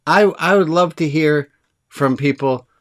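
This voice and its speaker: Eager, fast-talking rabbi with a deep voice. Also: male voice. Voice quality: deep resonant voice. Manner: eager and fast, says excitement speeds him up. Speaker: rabbi hosting an online group session. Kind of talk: casual, chatty talk to a group on a video call. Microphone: video call.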